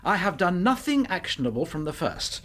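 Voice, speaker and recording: An actor playing a cornered, defiant English swindler in a radio drama, blustering and defensive. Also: male voice, high-pitched, big pitch swings, normal speed, fairly bright tone, exaggerated voice. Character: defiant, weaselly male swindler stepfather, cornered villain. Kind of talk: British radio drama acting.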